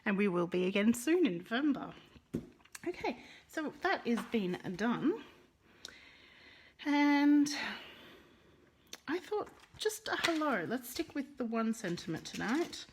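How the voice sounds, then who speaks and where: A woman's voice: fairly high pitch, big pitch swings, slow, pauses often, a little hiss or hum. A woman with a Russian accent teaching a live card-making lesson, chatting with viewers by name and rolling her R's. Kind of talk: live-streamed craft lesson.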